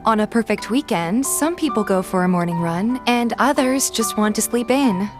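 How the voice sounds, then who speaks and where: A woman's voice: a little high, lively intonation, normal speed, over music, natural voice. An actress playing a sulky but fond young woman, narrating in the first person and acting out her grumbling lines. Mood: glum. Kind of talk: first-person story narration with acted dialogue.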